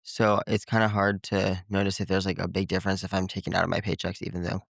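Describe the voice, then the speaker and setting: A young man, a college freshman, with a somewhat low voice. He answers briefly and matter-of-factly. The sound is dry and close, with no room echo. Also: completely flat delivery, fast talker, creaky. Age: young adult. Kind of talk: casual interview chat.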